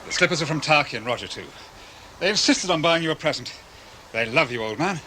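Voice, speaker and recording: Quick-talking genial father. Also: on the high side, lively intonation, bright, forceful tone, a little hiss or hum. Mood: fond. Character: genial visiting father, fond younger friend. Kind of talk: radio drama acting.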